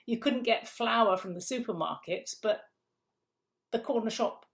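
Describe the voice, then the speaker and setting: Gravelly-voiced woman explaining food supply. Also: on the high side, a little slow. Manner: explains figures step by step, repeats words, emphatic. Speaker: food council guest. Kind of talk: conversational interview explanation.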